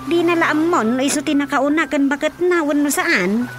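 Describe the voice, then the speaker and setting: A woman acting a nosy, sharp-tongued, easily scandalised villager in an Ilocano radio comedy-drama, full of questions and exclamations. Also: sits high, normal speed, lightly acted. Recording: faint music under the dialogue. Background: faint background music.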